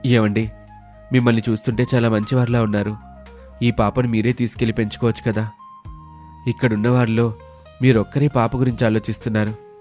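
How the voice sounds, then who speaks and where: A man playing a kind, helpful village fruit seller in a moral story, speaking in an earnest, measured way. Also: mid-range voice, level tone, darkish tone, soft background music, lightly acted. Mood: thoughtful, sincere. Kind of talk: dramatised moral story acting.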